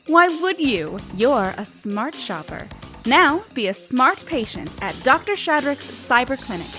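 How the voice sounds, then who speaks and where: A woman's voice: high-pitched, sing-song, measured pace, sharp tone, soft background music, full character voice. An actress playing a cheerful spokeswoman in an in-world clinic advertisement. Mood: salesy. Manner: upbeat salesy. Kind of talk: radio ad spokeswoman.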